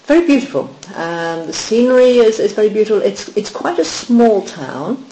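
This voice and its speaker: A woman's voice: medium pitch, animated, moderate pace, mellow. A middle-aged woman from Wales, a guest on a casual podcast, recalling her life reflectively with frequent ums and restarts. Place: small podcast studio. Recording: echoey small room, faint hiss.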